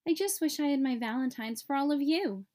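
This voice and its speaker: A woman's voice: high voice, lively intonation, a little fast, a little mellow. A woman voicing an earnest, sensitive young boy turtle in a children's storybook, childlike and emotional. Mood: regretful.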